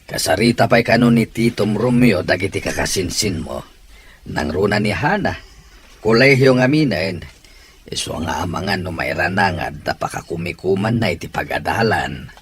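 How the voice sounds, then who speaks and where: A man voicing a middle-aged father who speaks calmly to his wife and daughter. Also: sits low, moderate pace.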